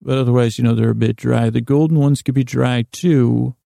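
Male podcast host, heavy gravelly creak, flat intonation, meandering monologue, halting and stammering, trailing off. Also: medium pitch, dry recording, studio quality. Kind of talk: rambling comic podcast monologue.

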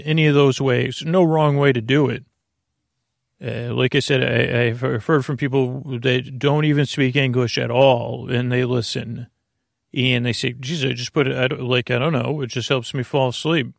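Creaky, meandering man hosting a bedtime podcast. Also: mid-range voice, dry recording, clean studio sound. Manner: meandering, halting, muttering delivery. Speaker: podcast host. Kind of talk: rambling bedtime podcast intro.

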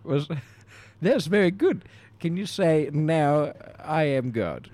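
A man's voice: fairly high pitch, lively intonation, slow, darkish tone. A man co-hosting a comedy podcast, asking questions in a playful, mock-formal way. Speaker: podcast co-host.

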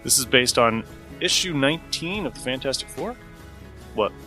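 A man's voice: mid-range voice, animated, moderate pace, sharp tone, faint music behind. A man hosting a podcast, giving a casual introduction. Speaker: podcast host. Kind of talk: casual scripted intro and chat.

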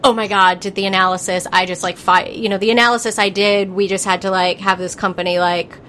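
A woman's voice: mid-range voice, level tone, fairly quick, bright, forceful tone, a little hiss or hum. A woman in her thirties hosting a podcast, telling self-mocking stories in a rambling way, full of fillers and swearing. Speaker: podcast host. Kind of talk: candid conversational storytelling.

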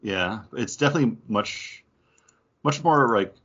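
A man hosts a podcast in a casual, conversational style. His voice is bright and a little rough rather than smooth, with animated pitch movement. The recording sounds slightly dull and lo-fi. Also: a little slow. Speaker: podcast host introducing the main topic. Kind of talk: casual chat.

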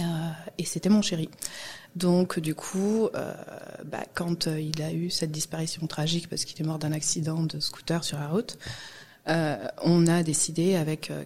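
A middle-aged woman from Guadeloupe talks as a podcast guest in a low, mellow voice. She hesitates often and fills gaps with "euh" while speaking at a loose, casual pace. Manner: hesitant, many fillers and restarts. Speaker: guest, music industry professional. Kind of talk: casual interview chat.

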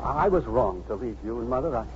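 A man's voice: medium pitch, lively intonation, brisk, darkish tone, lightly acted. An actor in a 1950s radio drama playing a guilt-ridden middle-aged father, stammering, hesitant and apologetic. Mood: pleading. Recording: muffled, hissy 1950s radio recording.

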